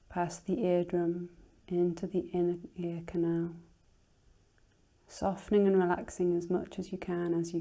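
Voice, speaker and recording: A woman teacher leading a guided relaxation in a soothing, unhurried, gently instructing manner, her soft voice turning breathy at phrase ends. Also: fairly low pitch.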